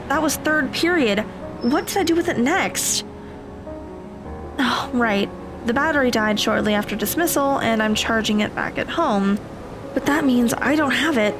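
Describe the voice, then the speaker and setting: Woman as an anxious high-voiced teenage girl. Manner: fretful, thinking aloud. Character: anxious, self-questioning teenage girl talking to herself. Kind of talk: audio drama monologue. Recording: stormy ambience noise behind voice.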